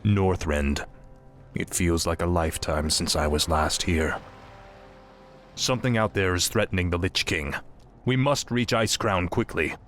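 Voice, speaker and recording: An actor playing an arrogant, ruthless fallen prince turned death knight, curt and commanding, in a fantasy video game. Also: male voice, low-pitched, unhurried, fairly bright tone, a little hiss or hum. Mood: grim resolve. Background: dramatic music.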